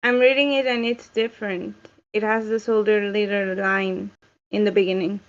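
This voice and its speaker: A woman's voice: mid-range voice, little pitch movement, normal speed. A woman taking part in an online table read, chatting casually over a muffled call. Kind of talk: casual online meeting chat.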